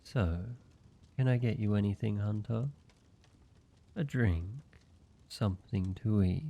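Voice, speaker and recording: A man acts out an ancient male vampire for a roleplay audio drama, flirtatious and courteous. The voice is on the low side and mellow, drawn out at a very unhurried pace. He teases playfully, with a touch of mock menace. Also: gravelly, exaggerated voice.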